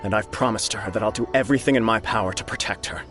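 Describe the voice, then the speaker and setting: An actor playing a gentle, devoted young noble in a video game, with a polite, sincere and formal manner. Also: male voice, fairly low pitch, fast talker, over music, lightly acted. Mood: earnest, troubled.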